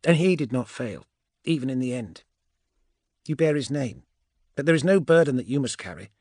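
A male narrator puts on a cool, mocking witch woman from a science fiction story. The voice is raised high and swings widely in pitch. She speaks with wry, portentous certainty, as one who knows more than others. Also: animated, moderate pace, a little mellow, dry recording, studio quality, natural voice. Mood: firm, reassuring. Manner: wry, portentous, sure of herself.

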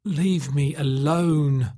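A man's voice: fairly high pitch, expressive, normal speed, a little mellow, breathy edge, no echo, clean studio sound, voice pushed up. A man voicing a weary, self-pitying male doctor in an audiobook, weak and despairing. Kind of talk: audiobook character acting.

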